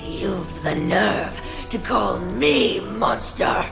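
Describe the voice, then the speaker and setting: A very slow, high-voiced fallen angel, full of scorn and vengeance. She declaims theatrically for a video game in an echoing space, with music behind her. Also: expressive, vocal fry, exaggerated voice. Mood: scornful, accusing. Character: scornful, vengeful fallen angel woman, dying but defiant.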